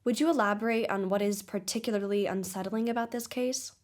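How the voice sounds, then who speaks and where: A woman playing a composed investigative podcast host, with measured, formal delivery in a scripted audio drama documentary. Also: mid-range voice, steady tone, natural voice. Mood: inquisitive, courteous.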